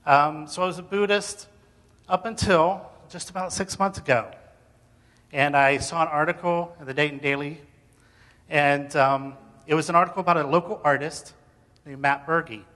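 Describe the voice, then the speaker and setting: Bright-voiced man speaking in a reverberant hall. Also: a little high, fairly even intonation, normal speed. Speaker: speaker sharing faith story. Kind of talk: personal testimony told to an audience.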